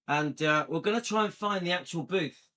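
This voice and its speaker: A man's voice: a little high, moderate pace, bright, forceful tone. A man hosting a vlog, chatting casually and rambling to his viewers while browsing videos. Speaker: vlog host.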